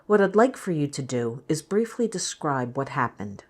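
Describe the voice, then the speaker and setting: A woman playing a clinician, asking scripted interview questions in a measured, neutral way. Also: deep voice, big pitch swings. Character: measured female clinician giving a structured interview.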